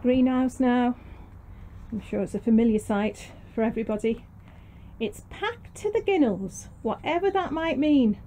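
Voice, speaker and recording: High-voiced British woman chatting, noisy outdoor audio. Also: lively intonation, unhurried, warm tone. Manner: chatty, informal, self-deprecating. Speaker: gardening vlogger. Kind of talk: casual rambling garden tour to camera. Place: allotment garden and greenhouse. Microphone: handheld camera's built-in microphone.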